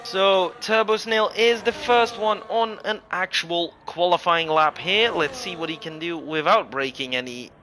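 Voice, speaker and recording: A man's voice: sits high, unhurried, hardly any pauses, bright, forceful tone, a little hiss or hum. A man from Europe giving casual live sim racing commentary as a livestream host, mixing it with jokey, mock-indignant banter.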